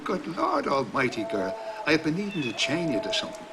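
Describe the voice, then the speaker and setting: An actor playing a kindly, protective older priest with an Irish accent and a folksy, gently scolding manner in a video game. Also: male voice, medium pitch, wildly swooping pitch, brisk, over loud music. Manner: folksy, wry, gently chiding.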